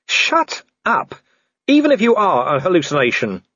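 A man voicing a gruff, defiant middle-aged policeman in an audiobook, with a sardonic, shouting manner. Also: high voice, expressive, normal speed, dry recording, lo-fi sound, pitched above the performer's own voice. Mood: exasperated.

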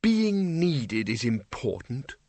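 A man's voice: mid-range voice, sing-song, slow, dark tone, no echo, exaggerated voice. A man voicing a frail old undead man, hesitant, querulous but kindly, as an audiobook character. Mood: wistful.

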